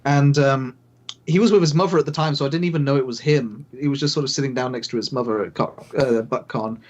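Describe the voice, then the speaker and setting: A man's voice: mid-range voice, fast, dull audio. A man with a British accent, a podcast guest telling stories casually and a little hesitantly. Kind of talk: casual chat.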